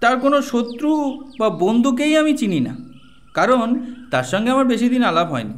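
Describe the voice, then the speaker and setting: A man's voice: very high voice, lively intonation, normal speed. A man playing an evasive, haughty murder suspect in an audio detective drama, with formal, theatrical and sometimes stammering speech. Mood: guarded, uneasy. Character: evasive, haughty male murder suspect, indignant when cornered.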